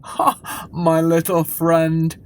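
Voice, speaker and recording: Woman voicing a slow, lofty talking ship. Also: low-pitched, little pitch movement, slight background noise, voice pushed down. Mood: amused, condescending. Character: lofty male talking ship, worldly and condescending.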